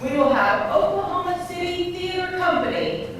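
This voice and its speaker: A woman's voice: very high-pitched, expressive, normal speed. A woman hosting a live event, making announcements to those attending. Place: indoor venue, live event. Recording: very echoey room, distant mic, faint noise.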